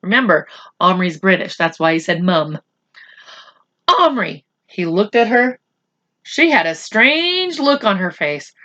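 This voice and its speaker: Woman, children's storyteller, bright voice, lively intonation, deliberate, teacherly. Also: lowish voice, dull audio. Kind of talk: expressive read-aloud storytelling with asides to children.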